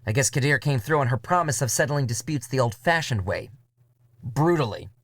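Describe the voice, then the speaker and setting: A man narrating a first-person noir audio drama in a wry, cynical voice with drawn-out phrasing. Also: mid-range voice, expressive. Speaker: narrator and writer. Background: music.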